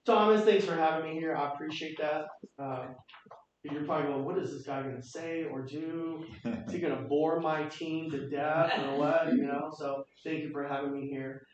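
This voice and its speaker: A man's voice: fairly high pitch, steady tone, normal speed. A man from South Korea speaking as guest at a team meeting, chatty and upbeat, with fillers and repeats. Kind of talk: casual talk to a team meeting. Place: office meeting room with Zoom audience. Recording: echoey meeting room, mic far off, dull sound.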